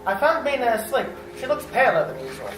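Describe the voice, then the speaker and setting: A woman's voice: fairly high pitch, very animated, quick pace. Brief: actress as a terse young man, off-mic, echoey live room with music. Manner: terse, matter-of-fact reporting. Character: young male solicitor keeping a journal. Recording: distant in roomy hall with music.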